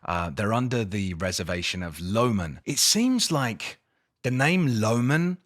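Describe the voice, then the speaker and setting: An English man giving a casual spoken book review, expressive, with dramatic emphasis and incredulous questioning. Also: lowish voice, unhurried. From England.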